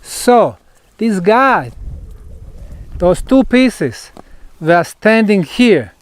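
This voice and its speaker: Slow, high-pitched male tour guide. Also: sing-song. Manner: emphatic, deliberate, short phrases with pauses. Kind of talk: on-site explanatory tour talk. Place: outdoors at a megalithic site.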